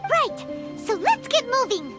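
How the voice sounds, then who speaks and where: A woman voicing a small, childlike fairy companion in a video game, excitable and blunt, full of wide-eyed exclamations and questions. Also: very high-pitched, a little slow, exaggerated voice. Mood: eager. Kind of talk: animated video game voice acting. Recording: game music underneath. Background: game music.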